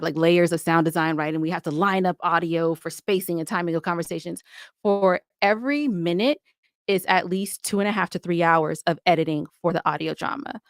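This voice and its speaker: A woman's voice: lowish voice, dry recording, clean studio sound. A woman podcaster speaking conversationally as an interview guest, giving advice in an emphatic, opinionated way.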